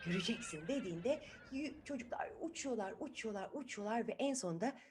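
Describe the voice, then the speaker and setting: Bir kadın, çocuklara sorular sorarak, sözleri tekrarlayarak dramatik bir dille doğaçlama masal anlatıyor. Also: female voice, fairly high pitch, lively intonation, fairly quick, background noise. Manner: dramatic, repeats words, asks listeners questions. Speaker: children's storyteller. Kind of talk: improvised, animated storytelling to children.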